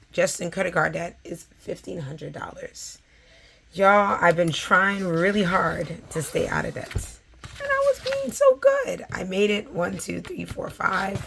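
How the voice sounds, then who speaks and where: A woman, talking casually to her viewers in a hoarse, scratchy voice, with plenty of fillers. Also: fairly low pitch, a bit bright. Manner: chatty, with frequent fillers. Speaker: vlogger. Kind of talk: casual chat.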